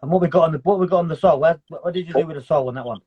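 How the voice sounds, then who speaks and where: Male co-host, very quick raspy delivery, fairly high, keen and slangy. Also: dry recording, highs cut off. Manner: slangy, enthusiastic. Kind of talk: casual chat.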